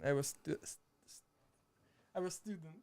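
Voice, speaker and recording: A Slovak man chatting in halting, hesitant English, full of restarts and laughter, sitting a bit far from the mic. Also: a little high, gravelly, darkish tone. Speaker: streamer and video editor guest. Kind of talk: casual chat. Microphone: too far from the mic.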